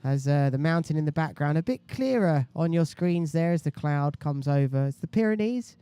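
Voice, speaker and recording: A man's voice: fairly high pitch, expressive, normal speed, dark tone, clean tone, no room sound. A man commentating on a live sports stream in a chatty, conversational way. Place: indoor live stream booth by a beach.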